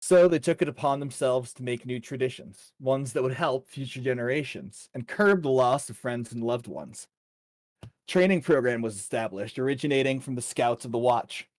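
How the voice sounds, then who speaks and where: A man with a medium-pitched voice acts as dungeon master for a tabletop fantasy game. He narrates the world and talks informally with his players. The sound is dry, with hardly any room in it. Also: animated, moderate pace, darkish tone, no room sound.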